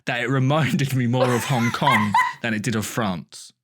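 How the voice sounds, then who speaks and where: A man's voice: mid-range voice, normal speed, very noisy. A man who is a podcast guest, chatting casually about his own dream, chuckling and jumping in to clarify details.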